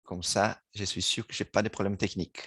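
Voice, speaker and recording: Halting Brazilian man presenting slides. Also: lowish voice, flat delivery, fairly bright tone, breathy edge, no echo, studio quality. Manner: halting, searching for words, frequent restarts. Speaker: guest speaker, board chairman. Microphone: remote video call.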